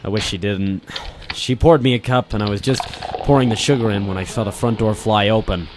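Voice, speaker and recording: A man's voice: lowish voice, a little fast, background noise. A man giving scripted first-person detective narration in a noir radio style, with music behind him.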